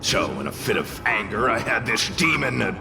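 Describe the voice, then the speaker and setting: Gravelly, grumpy fiery spirit, wildly swooping. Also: male voice, fairly low pitch, sing-song, moderate pace, over music. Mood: grumbling indignation. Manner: theatrical, disdainful, grumbling. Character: grumpy, sarcastic male fiery spirit, haughty and dramatic. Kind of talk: theatrical video game voice acting.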